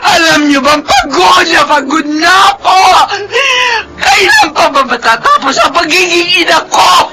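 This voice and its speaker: An actress playing a worn-out middle-aged mother, weary and tearful, who shouts when pushed too far, in a classic radio drama. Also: very high voice, very animated, measured pace, very noisy, heavily acted. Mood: despairing.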